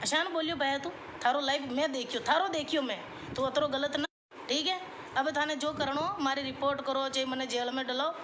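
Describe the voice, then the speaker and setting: A woman's voice: sits high, steady tone, brisk. A woman with a Rajasthani accent in a noisy clip, ranting in a defiant, scolding way. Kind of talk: confrontational live-video rant. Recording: noisy live clip with background ambience.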